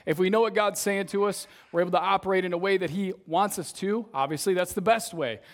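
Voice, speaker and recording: A young man with a somewhat high voice leads a church service as its youth pastor, preaching in a relaxed, conversational way. His voice is a little raspy from shouting earlier, and he keeps checking in with the congregation by tagging his points with "right?". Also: fast talker. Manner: conversational, tags sentences with "right?". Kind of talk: casual sermon.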